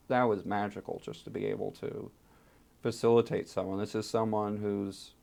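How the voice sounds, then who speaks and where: Man, low register with heavy creak, oral history interviewee, storytelling with emphatic pauses. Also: a little mellow. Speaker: interviewee, archive fellow and translator.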